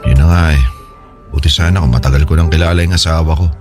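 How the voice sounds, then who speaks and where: Deep-voiced, hesitant Filipino man. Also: steady tone, music in the background. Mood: troubled, confiding. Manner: hesitant, halting, conversational. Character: troubled young married man, hesitant, friendly. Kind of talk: Filipino radio drama acting.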